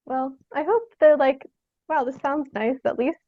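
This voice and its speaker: A young woman from Canada answering interview questions casually, in a tentative, halting, giggly way. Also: high-pitched, no room sound. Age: young adult. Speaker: guest, theatre artist. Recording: dry, dull lo-fi sound.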